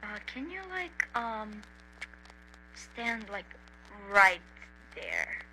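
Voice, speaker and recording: A woman voicing an aimless, awkward, foul-mouthed young man in an indie animated horror, speaking in a hesitant, deadpan mumble. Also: mid-range voice, extremely slow, full character voice, rough recording. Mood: uneasy. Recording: faint hum and hiss.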